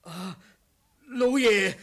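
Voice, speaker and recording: A man's voice: high voice, drawn-out words, gravelly, a bit bright, full character voice. A man acting a loyal, humble, anxious household servant in a Cantonese radio drama, deferential and pleading.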